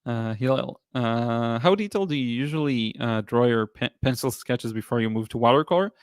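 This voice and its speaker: A man in his thirties with an Israeli accent, hosting a painting livestream and answering viewer comments in a relaxed, halting way with many fillers. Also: mid-range voice, smooth, dry recording, clean studio sound. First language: Hebrew. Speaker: watercolor artist and livestream host. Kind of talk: casual livestream chat reading comments.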